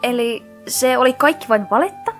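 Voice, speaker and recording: A prim teenage fighter girl, acted by a woman for an anime parody. She has a high voice and a suspicious tone, with music playing behind her. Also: expressive. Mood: questioning. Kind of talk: anime parody fan dub.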